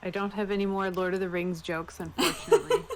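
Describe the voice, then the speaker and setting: A woman's voice: medium pitch, very animated, fast talker, heavy background noise. A woman playing a tabletop game over a video call, chatting and improvising casually. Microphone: online video call.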